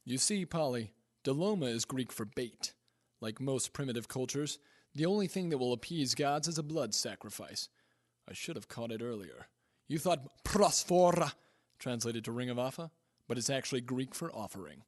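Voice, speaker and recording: A man's voice: mid-range voice, expressive, normal speed, natural voice. Man playing a smug, swaggering adventure hero. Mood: smug, explaining. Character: cocky, reckless male adventurer hero, self-satisfied. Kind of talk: radio comedy acting.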